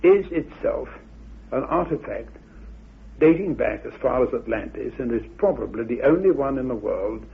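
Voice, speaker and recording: A man explaining myth and history in a deliberate, halting, scholarly way on a muffled old radio recording. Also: mid-range voice, expressive, gravelly. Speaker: researcher expounding theories about Atlantis.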